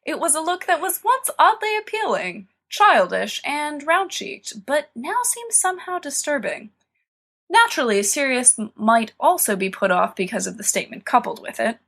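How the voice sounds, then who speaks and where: Bright-voiced woman narrating a story. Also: high voice, very animated, normal speed. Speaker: audiobook narrator. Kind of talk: scripted fan-fiction narration.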